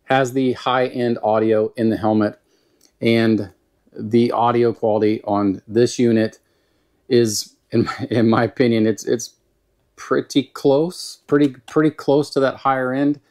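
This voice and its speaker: Male gear reviewer, Southern US accent, mid-pitched voice, folksy and unhurried, informal monologue delivery. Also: steady tone, darkish tone. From Southern United States. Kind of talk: casual product review monologue.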